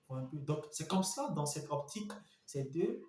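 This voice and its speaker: A young man telling a story in a casual vlog, animated and repetitive, speaking straight to his viewers. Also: on the high side, normal speed, roomy sound.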